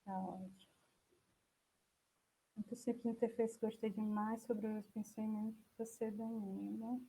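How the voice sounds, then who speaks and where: A Brazilian woman speaks in a fairly high register while leading an informal online talk. She thinks aloud with some hesitation, walking listeners through a program and fielding their questions. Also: flat delivery, measured pace, dark tone, slightly rough, low-quality recording. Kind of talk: informal software demo, answering viewer questions.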